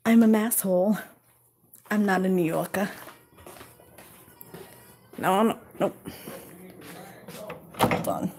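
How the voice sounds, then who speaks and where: A woman's voice: medium pitch, takes it slowly, long pauses, darkish tone, soft background music. A woman from Massachusetts with a Worcester accent, chatting casually and bluntly on a live stream, swearing freely. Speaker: YouTuber hosting a live stream.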